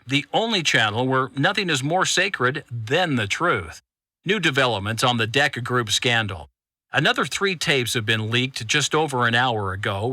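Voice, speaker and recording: A zealous truth-crusading journalist with a bright, forceful, mid-pitched voice. He reads scandal bulletins urgently and with self-importance in a very dry recording for a podcast drama. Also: no room sound. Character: zealous male conspiracy journalist breaking scandal news. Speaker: journalist, channel host. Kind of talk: scripted news bulletin in fiction podcast.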